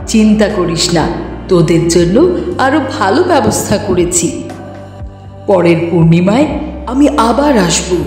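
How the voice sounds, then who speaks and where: A woman playing a cruel, power-hungry witch in a fairy-tale cartoon, menacing and taunting with drawn-out words. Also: mid-range voice, expressive, a little mellow, lightly acted. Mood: mocking, threatening. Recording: echoey hall reverb, faint music behind.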